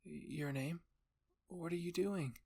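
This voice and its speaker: Man voicing a bossy, higher-pitched young queen. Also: slow, creaky, dark tone, husky, dry recording, studio quality, heavily acted. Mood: scolding, concerned. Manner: scolding, insistent.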